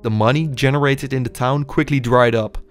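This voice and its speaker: A young man reads scripted documentary narration in a measured, explanatory way. His voice sits at an ordinary middle pitch, and faint music plays underneath. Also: fairly even intonation. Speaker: urban explorer narrator.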